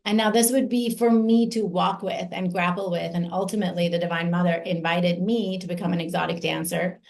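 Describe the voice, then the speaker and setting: Woman from Iran, forties, earnest testimony, slow measured delivery, lowish voice. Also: fairly even intonation, creaky, darkish tone. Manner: earnest, slow and deliberate with emphatic pauses. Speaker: invited speaker. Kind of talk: personal testimony speech.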